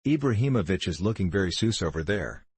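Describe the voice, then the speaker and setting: Deep husky man reading comments. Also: lively intonation, quick pace, a little mellow, no room sound. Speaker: comment reader. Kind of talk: reading forum comments aloud.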